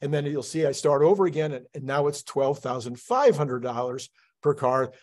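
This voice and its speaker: A man's voice: mid-range voice, darkish tone. A man hosting a channel, talking casually and emphatically as he walks through spreadsheet projections. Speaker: host of a finance channel.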